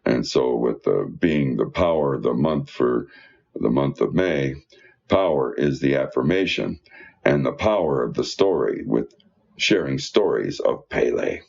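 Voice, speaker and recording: A man's voice: extremely low pitch, animated, unhurried, vocal fry, a little mellow, breathy edge, highs cut off. A man reading church newsletter announcements aloud in a folksy, conversational way with informal asides.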